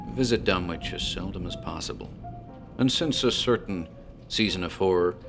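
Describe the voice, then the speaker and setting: A man playing a talkative country tavern landlord in a horror audio drama, deliberate, teasing and deferential in his storytelling. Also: low-pitched, vocal fry, exaggerated voice. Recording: music under the voice.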